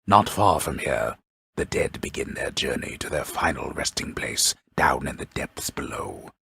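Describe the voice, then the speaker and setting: Mythic storyteller, bottomless bass, very husky gravel, grave, pompous, wry, theatrical game narration, close dry sound. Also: male voice, animated, bright, forceful tone, no echo, heavily acted. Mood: solemn, portentous. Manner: ornate, solemn, deliberate, dryly wry.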